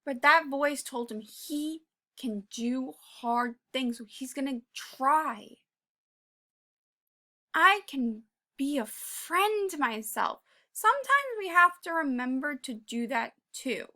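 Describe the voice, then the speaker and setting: A woman reading a children's picture book aloud, pausing to talk to young listeners in an encouraging way and sometimes dropping to a whisper. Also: high voice, animated. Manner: drops to a whisper at quiet moments. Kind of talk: read-aloud with commentary for kids.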